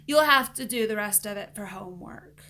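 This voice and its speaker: A woman reads as a storybook schoolteacher, firm and a bit weary. She is measured and instructive, with a bright edge to the voice. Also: medium pitch, expressive, a little hiss or hum.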